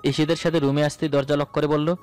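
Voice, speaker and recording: A man's voice: on the high side, flat delivery, brisk, a little mellow. A man narrating a scripted romantic story in the first person. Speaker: narrator of romantic audio stories. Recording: voice over background music.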